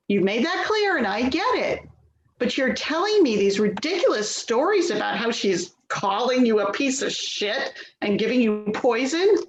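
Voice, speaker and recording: A woman's voice: a little high, lo-fi sound. A woman in a play reading, playing an impatient, defensive grown daughter who sounds exasperated and dismissive. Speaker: actor in a readers theater play. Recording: roomy echo, slight background noise.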